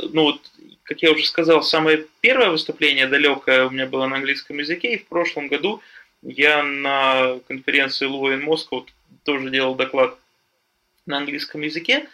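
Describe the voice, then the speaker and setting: A man chatting casually on a panel, talking in a halting way with lots of fillers and restarts. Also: on the high side, bright, forceful tone. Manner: hesitant, many fillers and restarts. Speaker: podcast guest, software developer.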